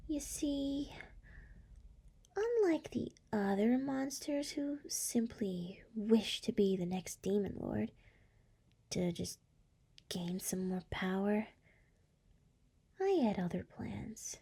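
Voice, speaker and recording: A seductive female demon lord played by a woman speaks in slow, breathy whispers for an ASMR roleplay. Her soft, dark voice has a creaky edge and a teasing, scheming purr, very close to the mic. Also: mid-range voice, exaggerated voice. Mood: sly, calm. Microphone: close microphone.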